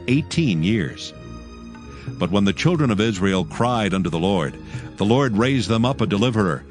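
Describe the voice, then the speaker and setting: A man narrating dramatized scripture in a solemn, measured way. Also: on the low side. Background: music.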